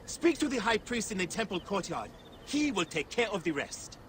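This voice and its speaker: High, bright, theatrical showman. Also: sing-song, normal speed, slight background noise. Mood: flustered, effusive. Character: flustered, theatrical festival organizer in ancient Egypt. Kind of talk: dramatic video game acting.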